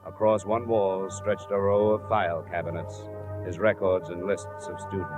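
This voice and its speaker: A man's voice: sits low, flat delivery, moderate pace, natural voice. A man playing a dogged, terse insurance investigator in a 1950s radio detective drama, questioning and narrating in a clipped, matter-of-fact way. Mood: terse narration. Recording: muffled old radio recording with music.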